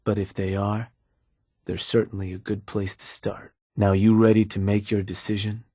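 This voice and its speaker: A man voicing a gruff raider fixer from a game, speaking in a low, husky voice with a relaxed, businesslike drawl. The recording is dry and close. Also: normal speed, no room sound, close to an everyday voice. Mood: laid-back. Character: gruff middle-aged male raider fixer, calm schemer. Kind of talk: game voice lines.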